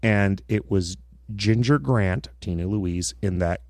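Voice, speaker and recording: Low-voiced man rambling on a podcast. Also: takes it slowly, a little mellow, no echo, clean studio sound. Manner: rambling, with many restarts. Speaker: podcast co-host. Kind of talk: casual chat.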